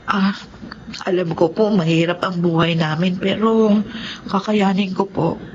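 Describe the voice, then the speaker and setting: A woman's voice: on the low side, fairly even intonation, a little slow, darkish tone, close to an everyday voice. A woman playing a poor, devoted widowed mother in a Filipino melodrama, speaking politely and emotionally with a Filipino accent. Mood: pleading, tearful. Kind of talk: Filipino radio-style melodrama acting. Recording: dull lo-fi audio with light noise.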